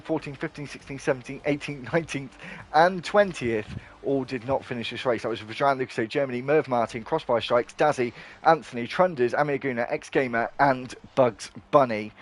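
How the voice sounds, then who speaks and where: A man giving live play-by-play commentary on an online racing league, excitable and quick to correct himself. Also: medium pitch.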